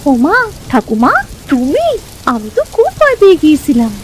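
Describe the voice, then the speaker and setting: A woman's voice: sits high, huge pitch swings, normal speed, darkish tone, slight background noise. A woman voicing an eager, timid little sister in a Bengali village cartoon. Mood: wary. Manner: childlike, wheedling, fretful. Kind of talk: Bengali cartoon story voice acting. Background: music and rain.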